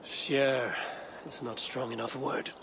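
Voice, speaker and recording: An actor playing a weary, resolute Viking warrior in a game, gravelly, with terse, formal and solemn delivery. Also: male voice, mid-range voice, level tone, a little slow, noisy background, close to an everyday voice. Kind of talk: video game cutscene acting.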